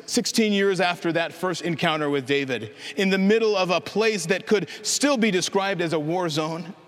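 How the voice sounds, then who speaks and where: A man preaching a sermon, speaking earnestly and rising to impassioned proclamation. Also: sits high. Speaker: pastor. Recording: long hall reverb.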